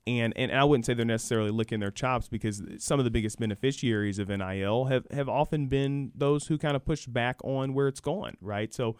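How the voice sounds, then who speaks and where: A man's voice: medium pitch, fairly quick, hardly any pauses, smooth, no room sound, clean studio sound. An American man explaining college sports conversationally as a guest expert in a radio interview, emphatic and fond of hypothetical examples.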